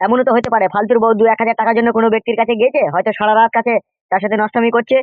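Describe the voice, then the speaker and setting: A man's voice: very high-pitched, steady tone, rapid-fire, dry recording, narrow, tinny audio. A man voicing a crude, fawning, chattering henchman loyal to a crooked boss in a comedy cartoon. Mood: earnest, fawning. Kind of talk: animated comedy cartoon dialogue.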